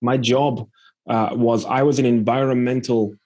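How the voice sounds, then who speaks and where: A man's voice: medium pitch, steady tone, measured pace, a little mellow. A man from Scotland talking as a podcast guest, explaining his experience in a plain-spoken, conversational way. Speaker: podcast guest, e-commerce entrepreneur. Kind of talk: conversational interview storytelling.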